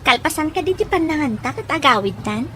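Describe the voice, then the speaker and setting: Agreeable female friend, high voice, conversational radio serial, faint ambient noise. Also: animated, brisk, bright, forceful tone. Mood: easygoing, agreeable. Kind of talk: Ilocano radio drama acting.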